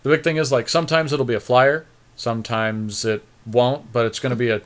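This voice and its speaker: A man's voice: mid-range voice, fairly even intonation, a little fast, clear, resonant tone. A man co-hosting a podcast, chatting informally and thinking aloud as he weighs choices during a card game. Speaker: podcast co-host. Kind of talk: casual chat during a card game draft.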